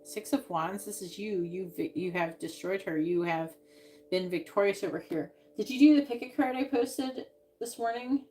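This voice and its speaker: A woman reading tarot cards on a live stream, musing out loud and trailing off with sighs. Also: mid-range voice, normal speed, faint music behind, rough recording. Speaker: tarot reader.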